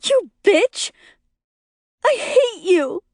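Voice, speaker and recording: A woman narrator puts on a jealous, hysterical young wife from an audiobook. Her voice is shrill and very high, leaping wildly as she screeches accusations. The delivery is melodramatic and the sound is dry. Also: wildly swooping pitch, moderate pace, a bit bright, no echo. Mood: crying, screeching. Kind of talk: acted audiobook dialogue.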